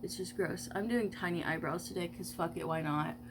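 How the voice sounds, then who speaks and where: A woman's voice: lowish voice, brisk, background noise. Congested woman, early twenties, loose rambling vlog chatter with swearing. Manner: rambling, filler-heavy, swears casually. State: congested, stuffy nose. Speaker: vlogger. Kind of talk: casual get-ready-with-me chat. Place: at home doing makeup.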